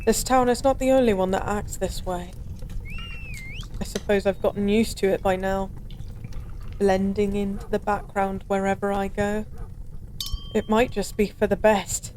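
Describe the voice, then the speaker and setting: A woman's voice: medium pitch, fairly even intonation, a little slow, long pauses, darkish tone, slight background noise, natural voice. A woman playing a weary, lonely former goddess in an intimate audio roleplay, speaking wistfully and philosophically in formal, old-fashioned wording. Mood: dreary, softly welcoming. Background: bar ambience.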